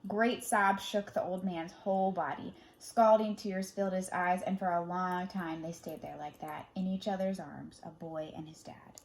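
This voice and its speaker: A woman reading a children's Bible story aloud as a storyteller, dramatic in delivery, moving between emphatic and hushed phrasing. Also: on the low side, fairly even intonation, normal speed, few pauses.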